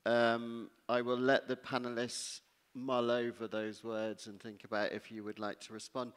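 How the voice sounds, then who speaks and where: A man's voice: mid-range voice, clear, resonant tone. A man in his forties moderating a conference panel from the stage on a headset microphone. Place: large conference hall, on stage. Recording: echoing hall amplification.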